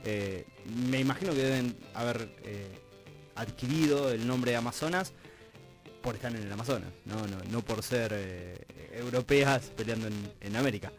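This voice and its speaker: A man's voice: medium pitch, moderate pace, low-quality recording. Argentine man hosting, music behind. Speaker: radio host interviewing guests. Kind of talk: conversational radio interview. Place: radio studio.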